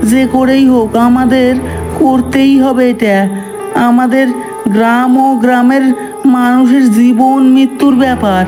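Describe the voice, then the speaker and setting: A woman's voice: high-pitched, flat delivery, measured pace, over music. A woman voicing an earnest, determined young village man in scripted cartoon dialogue, speaking insistently. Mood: worried, determined.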